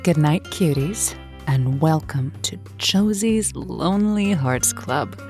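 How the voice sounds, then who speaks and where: An actress playing a wry late-night radio advice host with a slow, sultry on-air delivery. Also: female voice, sits low, lively intonation, darkish tone, soft background music.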